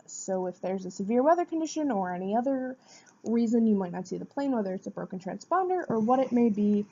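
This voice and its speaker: A woman's voice: mid-range voice, warm tone. A woman gives a prepared informative presentation in an explanatory way, posing questions and answering them. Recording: dull, muffled lo-fi sound.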